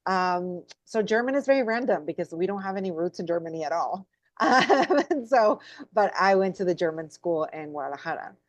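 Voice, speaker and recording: Mexican woman guest, low deep voice, chatty and warm, dry close sound. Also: lively intonation, a little fast, no echo. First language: Spanish. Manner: warm, chatty, laughs often. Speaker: filmmaker guest. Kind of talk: casual interview.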